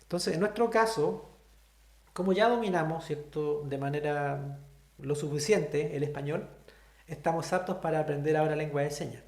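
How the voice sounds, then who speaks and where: Echoey Chilean-accented teacher. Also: male voice, a little high, moderate pace, a little mellow. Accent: Chilean Spanish. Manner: explanatory, asks the class frequent questions. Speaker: sign language teacher. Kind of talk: online class lecture.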